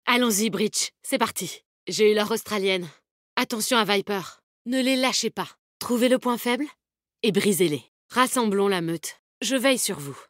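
A woman's voice: medium pitch, lively intonation, normal speed, no room sound, clean studio sound, natural voice. An actress playing a tough, confident, protective woman fighter in a video game, with a bold, cheeky, commanding manner. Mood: commanding, rallying. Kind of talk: video game character voice lines, combat callouts.

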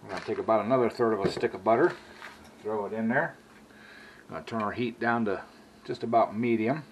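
An American man in his sixties with a folksy manner, casually talking through a cooking demonstration as a home cook. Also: fairly low pitch. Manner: folksy, drawn-out asides with y'all. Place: home kitchen, indoors at the stove. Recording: clearly noisy home kitchen recording.

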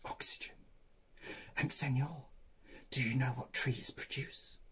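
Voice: medium pitch, fairly even intonation, a little slow, pushed and bright, breathy edge, exaggerated voice. A storyteller voicing four tree porcupines in an unvoiced whisper. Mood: hushed.

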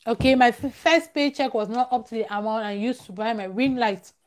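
Young lady chatting to her live viewers, kinda high voice, says things twice to stress them. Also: fairly quick. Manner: emphatic, repeats phrases for stress. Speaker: vlogger, live-stream host. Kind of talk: casual live-stream chat, advice to viewers. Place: small room at home, close to a plain wall. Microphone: clip-on lavalier at the collar.

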